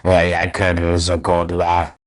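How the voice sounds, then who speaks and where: Man as a very deep-voiced theatrical supervillain. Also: completely flat delivery, unhurried. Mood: mocking. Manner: sneering, theatrical. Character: mocking, theatrical male supervillain, bitter archenemy. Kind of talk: comic dub voice acting.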